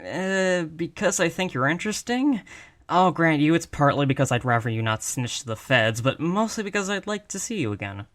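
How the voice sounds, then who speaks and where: Guy doing a exasperated mob boss, kinda high voice, sardonic and chatty, audio roleplay. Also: lively intonation, moderate pace, a bit bright. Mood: apologetic, flirtatious. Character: apologetic, exasperated, wry, flirtatious mafia boss. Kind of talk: roleplay audio drama monologue.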